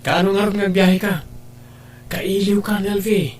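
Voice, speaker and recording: A man's voice: sits high, level tone, takes it slowly, a little hiss or hum. A man acting in a radio drama as an eager, sweet-talking former boyfriend. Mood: eager, wooing.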